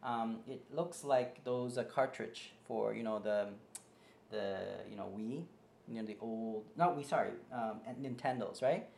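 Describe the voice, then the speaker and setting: A man's voice: medium pitch, a little slow, gravelly, a little mellow. Rambling man in an echoey home office. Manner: rambling, many fillers and restarts. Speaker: tutorial host. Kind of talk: casual how-to demonstration. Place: home office desk, indoors. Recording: echoey room, faint background hiss.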